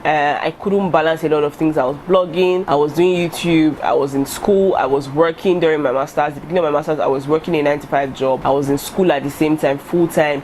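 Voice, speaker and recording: A woman's voice: deep voice, a little mellow, a little hiss or hum. A young woman with a Nigerian accent and Yoruba as her first language, talking candidly to camera in a casual vlog with fillers and restarts. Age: young adult. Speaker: YouTuber. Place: indoor room in front of a striped curtain. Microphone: camera in front at head-and-shoulders framing.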